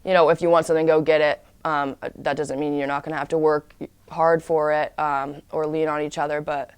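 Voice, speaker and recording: A woman's voice: deep voice, flat delivery, fairly quick, smooth, dry recording. A woman college lacrosse player answering interview questions in an earnest, casual way.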